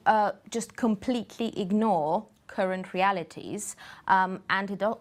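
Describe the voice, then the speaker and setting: A woman from Bulgaria, answering interview questions in a studio in a measured way, pausing often with fillers. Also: mid-range voice. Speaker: campaign group guest.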